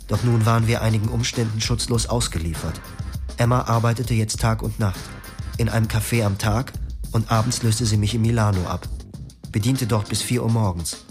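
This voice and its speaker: A man's voice: on the low side, steady tone, normal speed, music in the background, close to an everyday voice. An actor narrating as a weary young man in a German radio drama. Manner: subdued, reflective. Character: weary young man telling his own story.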